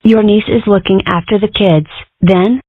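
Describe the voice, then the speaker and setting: A woman's voice: medium pitch, fairly even intonation, normal speed, no echo, natural voice. A woman voicing a playful, curious mom in a cartoon comic dub, casual and teasing. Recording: dry, narrow-band phone-like sound.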